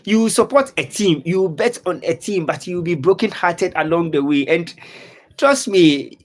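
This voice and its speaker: Ghanaian man with a high voice, earnest live-stream football host, repeats himself. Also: expressive, moderate pace. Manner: repetitive, earnest, reads out viewer comments. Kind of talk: casual football talk to live viewers. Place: lives in Dubai.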